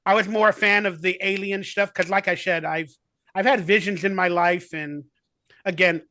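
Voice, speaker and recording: Chatty high-voiced man in his forties. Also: fairly even intonation, moderate pace, bright, forceful tone, no echo. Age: 40s. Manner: rambling, enthusiastic, full of "like" fillers. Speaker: guest actor. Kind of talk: casual remote interview. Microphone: video call.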